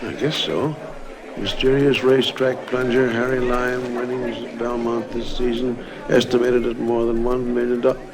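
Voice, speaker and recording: An actor playing an eager, gullible American tourist in a 1950s radio drama, informal and excitable. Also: male voice, mid-range voice, moderate pace, a crowd in the background, close to an everyday voice, rough recording. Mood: curious, excited.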